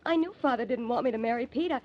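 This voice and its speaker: An actress playing a distraught, determined young American woman in an old-time radio drama, earnest and halting. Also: a little high, natural voice. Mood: earnest, confessing. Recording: muffled old radio recording.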